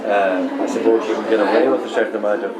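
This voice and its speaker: A man's voice: on the low side, fairly even intonation, fast talker, over loud music. A man asking informal interview questions as an interviewer. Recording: echoey room with loud crowd chatter. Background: loud chatter of people.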